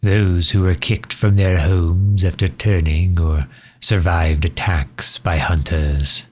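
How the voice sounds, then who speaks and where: A man playing a courteous, weary vampire leader in an audio roleplay, pleading in formal, measured, old-fashioned words with a hushed, breathy voice. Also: low-pitched, fairly even intonation. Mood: sombre, earnest. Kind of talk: scripted ASMR roleplay acting.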